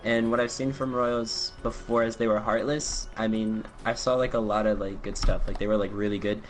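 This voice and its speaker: A man's voice: on the low side, little pitch movement, moderate pace, faint music behind. A young man casually commentating a team shooter match, filling his talk with "like" and loose restarts. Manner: loose, filler-heavy, lots of "like". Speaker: esports caster. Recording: noisy home mic.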